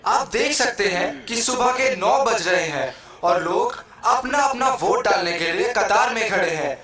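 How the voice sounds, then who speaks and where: A man's voice: sits high, expressive, a little slow. A man playing an eager comic TV news reporter, announcing in a mock report for a puppet show. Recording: very echoey hall, distant, crowd noise.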